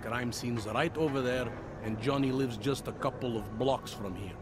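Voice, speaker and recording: A man's voice: mid-range voice, normal speed, background noise, natural voice. A man playing a wry, weary veteran cop. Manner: wry, world-weary, half-joking. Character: weary veteran male city police detective. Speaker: game character. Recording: game cutscene with loud music.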